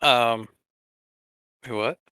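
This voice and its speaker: Clear, bright-voiced man chatting casually. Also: mid-range voice, extremely slow, dry recording, studio quality. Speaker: podcast co-host. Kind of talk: casual podcast chat.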